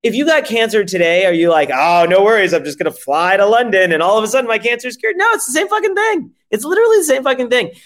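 Brief: male founder from France, fast and lively, fairly high voice, emphatic and profane. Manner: emphatic, profane, rhetorical questions. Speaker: startup founder guest. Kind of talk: energetic interview pitch.

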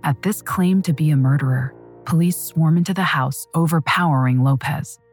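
A woman narrating a true crime story in a measured, dramatic scripted style. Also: sits low, darkish tone, slightly rough, soft background music.

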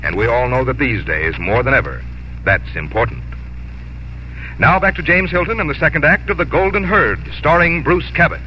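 A man's voice: mid-range voice, animated, moderate pace, dull audio. A man working as a radio announcer, delivering a scripted sponsor message in 1950s broadcast style. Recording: faintly noisy 1950s radio recording.

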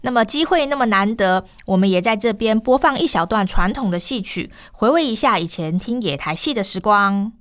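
A woman's voice: mid-range voice, animated, moderate pace, few pauses, a bit bright. Enthusiastic woman host with Taiwanese Mandarin. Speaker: host interviewing a guest. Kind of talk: scripted upbeat cultural travel radio programme.

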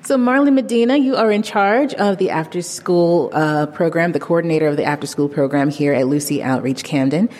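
Reporter lady asking questions, deep warm voice, reverby room. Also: a little fast, hardly any pauses, a little hiss or hum. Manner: prompting, restating answers. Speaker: community reporter. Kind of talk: interviewing on location. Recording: long reverb, faint music.

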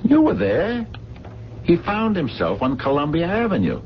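A man's voice: fairly high pitch, wildly swooping pitch, measured pace, exaggerated voice. An actor in a radio mystery drama playing a streetwise working-class sleuth, slangy and emphatic as he reasons step by step. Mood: insistent, reasoning. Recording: muffled, noisy 1970s radio broadcast.

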